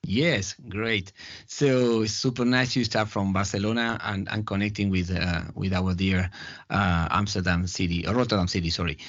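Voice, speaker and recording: Male host, halting. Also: deep voice, steady tone, a bit bright, dry recording, muffled recording. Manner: halting, searching for words. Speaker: podcast host, artistic director. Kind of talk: casual interview conversation.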